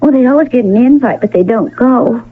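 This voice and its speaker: An actress playing a wary, wistful young ranch woman in an old-time radio Western, plainspoken and husky, with a rustic Western American drawl. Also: fairly high pitch, fairly quick. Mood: hesitant, downcast. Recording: phone-like old radio broadcast, faint music.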